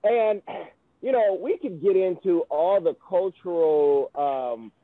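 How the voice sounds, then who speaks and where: A man hosts a podcast in a casual, rambling way. His voice is high for a man and is heard dry through a narrow, phone-like band. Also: animated, slow, dry recording. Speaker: podcast host. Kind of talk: casual conversational interviewing. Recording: thin phone-like audio, dry.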